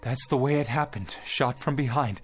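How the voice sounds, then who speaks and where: An actor in a radio play voicing an agitated, desperate time traveler, urgent and pleading, faltering when dazed. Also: male voice, mid-range voice, normal speed, close to an everyday voice. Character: desperate, agitated male time traveler trying to avert an assassination. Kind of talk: dramatic radio play acting. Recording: music bed behind the voice.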